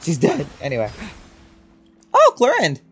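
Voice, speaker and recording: A woman's voice: fairly low pitch, wildly swooping pitch, unhurried. A woman game streamer reacting casually to a game, teasing, amused and sweary. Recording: game music behind.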